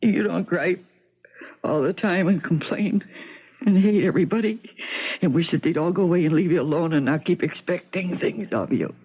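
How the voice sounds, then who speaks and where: Troubled wife, 1970s radio drama: husky, halting, plain-spoken, hates herself, jokes to cope. Lo-fi broadcast. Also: female voice, lowish voice. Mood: anguished.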